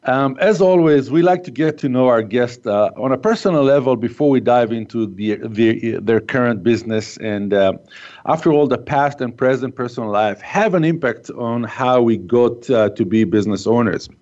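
A man hosting a business interview podcast, speaking as an in a halting way, with many fillers. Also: mid-range voice, a little mellow. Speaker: podcast host. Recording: muffled lo-fi audio.

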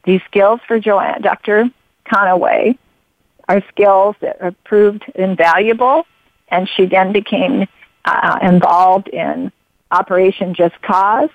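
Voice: medium pitch, steady tone, slow pace, gravelly, dry recording. A radio show host interviewing a guest, talking in long rambling runs full of fillers and restarts and drifting into personal stories. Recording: phone-like narrow sound.

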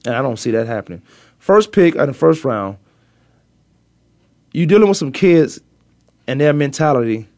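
A man with a Virginia accent hosting a casual sports talk show, arguing his points emphatically and repeating himself when excited. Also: medium pitch, moderate pace, pauses often, no echo, studio quality.

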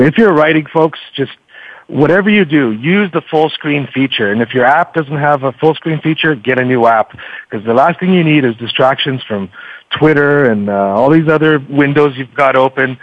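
A man's voice: medium pitch, no echo. A man speaking as a podcast guest in casual interview chat, explaining things in a wordy, stop-and-start way. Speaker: podcast guest, book reviewer. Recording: dull lo-fi radio-like line.

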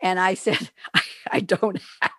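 A woman's voice: on the low side, unhurried, fairly bright tone, no room sound, studio quality. An older woman with a New York accent, hosting a radio talk show and telling rambling stories with frequent laughter. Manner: chatty, rambling storyteller, laughs often. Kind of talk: casual chat with guests.

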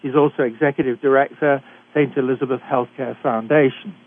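An older British man hosting a talk radio show, introducing guests in a measured, deliberate way with occasional hesitations. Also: medium pitch, steady tone. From Britain. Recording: dry, tinny phone-like line.

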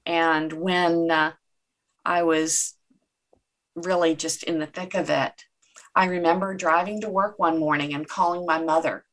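A woman with a lowish voice whose first language is Czech, talking through personal memories in an interview. She sounds earnest and reflective, and she readily agrees with the host. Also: fairly even intonation, measured pace, fairly bright tone. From Czech Republic. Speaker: guest. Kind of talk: conversational interview.

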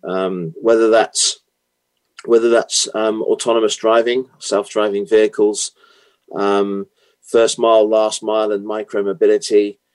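British guy on a podcast interview, kinda low voice, lots of ums and restarts. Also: little pitch movement, a little slow, a little mellow. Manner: hesitant, many fillers and restarts. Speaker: guest, automotive consultant. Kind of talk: interview answers.

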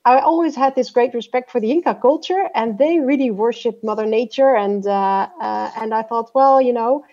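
A businesswoman raised in Peru speaks in a fairly high, mellow voice over a muffled lo-fi line. She answers in a podcast interview, pausing often with hesitant fillers. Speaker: guest, business executive.

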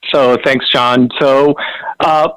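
A man's voice: medium pitch, fairly even intonation, slow, fairly bright tone, clear, resonant tone, dry recording, rough recording. A man from Argentina with an Argentine accent, a radio show guest telling a personal story with wry, self-deprecating humor.

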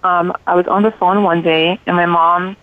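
A woman in her forties from Liberia, a radio show guest calmly telling her life story over the phone. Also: lowish voice, normal speed. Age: 40s. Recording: tinny phone line.

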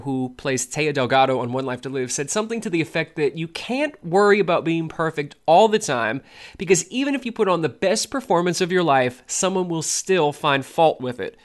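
A male radio host reading a measured, reflective scripted narration. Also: on the high side.

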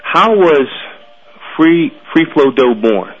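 A man's voice: a little high, lively intonation, slow, lo-fi sound, slight background noise. A man in his thirties hosting a radio interview, talking in a casual, friendly way and often repeating words. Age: 30s. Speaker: radio show host.

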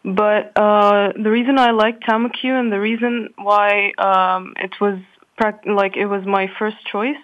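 A woman's voice: mid-range voice, steady tone, measured pace. Hesitant young woman student, dull line. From Qatar. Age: young adult. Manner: hesitant, many fillers. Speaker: engineering student guest. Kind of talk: casual interview answers.

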